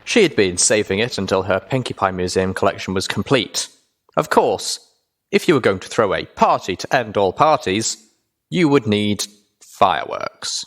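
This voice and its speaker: A man's voice: fairly low pitch, sing-song, moderate pace. A man reading scripted first-person fiction aloud as the narrator.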